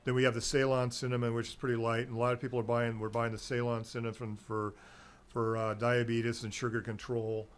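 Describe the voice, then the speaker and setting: A man's voice: medium pitch, little pitch movement, brisk. Rambling chatty middle-aged man. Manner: chatty, meandering, laughs easily. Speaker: guest, herbal nutrition seller. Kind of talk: rambling casual chat on a local radio show.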